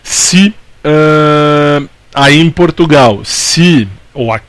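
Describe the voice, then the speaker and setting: A man's voice: fairly high pitch, very slow, a bit bright, dry recording. A Brazilian man giving an informal exam-prep law lecture, repeating key points and answering his own questions in a didactic way. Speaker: law teacher.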